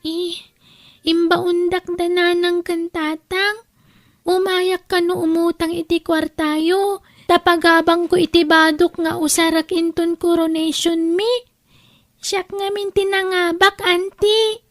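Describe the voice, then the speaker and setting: A woman's voice: very high voice, level tone, slow pace, dry recording, clean studio sound. A woman with a Filipino accent playing a meek, hesitant young schoolgirl niece in an audio drama. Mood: timid, pleading. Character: timid young schoolgirl niece begging a loan. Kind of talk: Ilocano audio drama acting.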